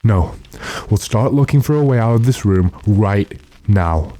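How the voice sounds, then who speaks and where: A man playing a stern, determined gentleman in a radio drama, speaking in a commanding, impatient way. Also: on the low side, lively intonation, measured pace, no room sound. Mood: grim, resolute. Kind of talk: classic radio drama acting.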